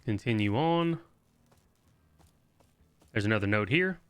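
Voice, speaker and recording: A man's voice: on the low side, animated, slow, smooth, no echo. A man giving casual walkthrough commentary on a video game and reading bits of game text aloud. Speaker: gaming video host.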